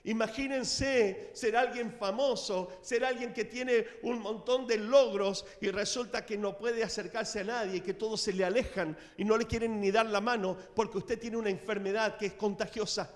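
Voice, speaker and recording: A middle-aged man preaching a sermon in a church, telling the story with dramatic swings in loudness. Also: sits high, fairly even intonation, moderate pace, hardly any pauses. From Latin America. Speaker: pastor. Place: church sanctuary. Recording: reverberant church hall.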